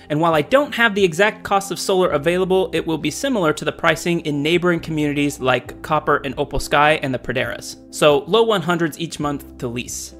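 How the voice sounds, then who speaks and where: A man who works as a real estate agent, narrating a scripted update on new home prices and incentives in a steady, informative way. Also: on the high side.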